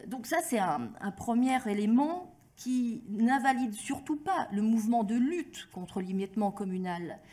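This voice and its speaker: A woman speaking as an expert witness at a hearing, in a formal, measured and articulate way as she builds an argument. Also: a little high, mellow. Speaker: academic expert giving testimony at a hearing. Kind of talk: formal prepared address with spontaneous phrasing.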